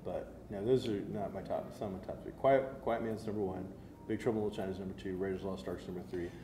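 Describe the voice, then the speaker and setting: A man's voice: sits low, steady tone, fast. An American man in his thirties co-hosting a geek show, chatting casually and explaining details with enthusiasm, seated indoors at a table. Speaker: co-host of a geek culture show. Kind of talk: casual review chat. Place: medium-sized indoor room, seated at a table. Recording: roomy echo with faint background noise.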